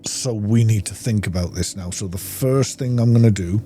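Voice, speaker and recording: A man's voice: lowish voice, moderate pace, warm tone, a little hiss or hum. A man giving casual gameplay commentary, thinking aloud in an informal way. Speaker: gaming video host.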